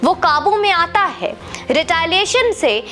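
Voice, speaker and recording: Woman, Indian accent, high pitch, swooping intonation, combative lecturing commentary, English words mixed in, faint music behind. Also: animated, a little slow, fairly bright tone. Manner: combative, lecturing, rhetorical questions; mixes English words and phrases into the talk. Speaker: political commentator. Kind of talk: opinionated monologue addressed to the viewer.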